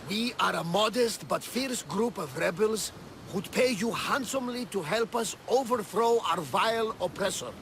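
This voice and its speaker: An actor playing an excitable older ship captain in a video game, telling things theatrically. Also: male voice, sits high, normal speed, a little hiss or hum. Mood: theatrical reading. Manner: theatrical, animated storytelling. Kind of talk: video game cutscene acting.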